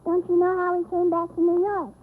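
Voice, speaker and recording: A man's voice: very high-pitched, monotone, a little fast, pitched above the performer's own voice. A man voicing a cheeky, innocent, literal-minded little girl in a 1930s radio comedy act, full of naive questions. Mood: puzzled. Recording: tinny 1930s radio recording, music.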